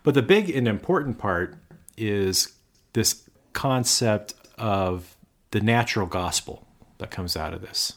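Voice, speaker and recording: A man with a very low voice talks through scripture in an easy podcast chat. He explains at length and tosses in dry jokes as he goes. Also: animated, slow. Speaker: podcast co-host. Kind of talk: casual chat on faith and scripture.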